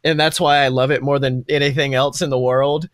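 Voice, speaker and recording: A man's voice: medium pitch, little pitch movement, a little fast, no echo, studio quality. A man co-hosting a podcast in casual fan chat, gushing enthusiastically in rambling, hyperbolic stories. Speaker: podcast co-host.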